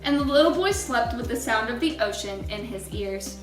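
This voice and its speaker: High-pitched woman reading aloud, echoey room. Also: lively intonation, moderate pace, recorded from a distance, over music. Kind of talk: expressive read-aloud storytelling for children.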